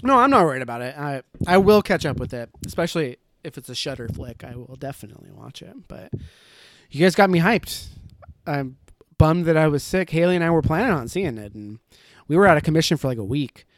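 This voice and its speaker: A man's voice: on the high side, lively intonation, a little fast, no room sound. A man co-hosting a casual podcast chat, talking in a laid-back, easygoing way. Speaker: podcast co-host.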